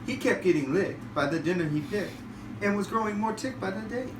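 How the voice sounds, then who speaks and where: A man, a father, reading a rhyming bedtime storybook aloud in an animated way. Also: high-pitched, a little fast. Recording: roomy, distant, noisy home recording.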